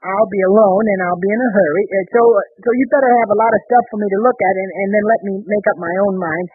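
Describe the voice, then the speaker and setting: An actor playing a flustered, boyish, bumbling husband who stammers and hesitates, in 1930s radio sitcom style. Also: male voice, high-pitched, fast talker, breathy edge, no echo. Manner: stammering, hesitant, plain-spoken. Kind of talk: 1930s radio situation comedy acting. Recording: muffled vintage 1930s radio broadcast.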